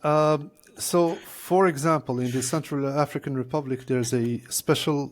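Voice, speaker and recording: A man's voice: on the high side, steady tone. Man explaining things step by step. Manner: measured, explaining step by step with frequent "uh" pauses. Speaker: court interpreter, guest. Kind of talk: explanatory interview answers.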